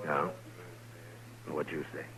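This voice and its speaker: An American man playing a calm, weary, determined frontier marshal in a 1950s radio western, speaking in a measured, laconic way. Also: a bass voice, full character voice. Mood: quietly determined. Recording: noisy old radio recording, clicks.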